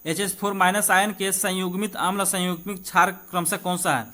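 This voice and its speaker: A man's voice: high-pitched, flat delivery, pushed and bright. A man with an Indian accent teaching an exam-prep lesson informally, repeating key points and checking in with listeners. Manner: reads questions slowly and deliberately in English, then explains in Hindi. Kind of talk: exam-prep chemistry lesson, explaining questions aloud.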